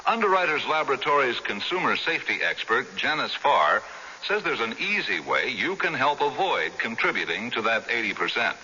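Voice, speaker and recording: Sharp, forceful male radio announcer. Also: medium pitch, big pitch swings, moderate pace. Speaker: public service announcer. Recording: hissy lo-fi radio recording.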